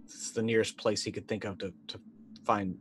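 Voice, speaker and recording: Guy in his 40s with a lowish voice, thinking out loud as he plays an rpg, music playing behind. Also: flat delivery, normal speed. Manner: hesitant, thinking aloud. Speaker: tabletop roleplaying player. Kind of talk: casual game play, narrating his character's actions. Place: indoor room, online video call.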